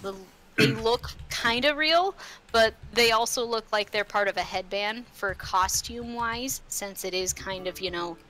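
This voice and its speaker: A woman's voice: a little high, unhurried, pushed and bright, a little hiss or hum. A woman acting as game master, narrating a tabletop roleplay session in a casual, conversational way. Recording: faint music behind. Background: faint music.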